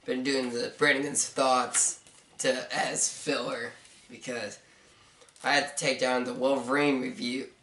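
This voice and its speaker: A young man with a hoarse, stuffy voice rambles through a casual book review, slow and hesitant. He sits back from the mic in an echoey room, with a faint hiss underneath. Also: mid-range voice, flat delivery, pushed and bright. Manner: rambling, hesitant, many fillers. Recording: roomy, mic at a distance, faint noise.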